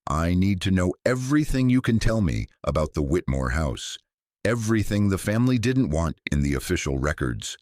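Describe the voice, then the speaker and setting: A man's voice: deep voice, sing-song, normal speed, darkish tone, no echo. Anxious young woman, pleading, man reading her part, dry sound. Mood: scared.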